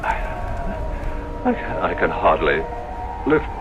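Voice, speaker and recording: Melodramatic, swooping paranoid tycoon. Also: male voice, low voice, sing-song, highs cut off, background noise, exaggerated voice. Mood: weak, desperate. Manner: melodramatic, halting, repetitive. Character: wealthy obsessive male businessman, paranoid, crumbling in panic. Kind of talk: old-time radio drama acting. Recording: radio drama with loud music.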